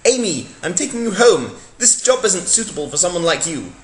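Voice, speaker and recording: A man's voice: high-pitched, big pitch swings, moderate pace, pitched above the performer's own voice. A man voicing a solemn, pompous time-travelling hero in a dramatic reading, with stilted, declamatory delivery. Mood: grave. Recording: echoey room.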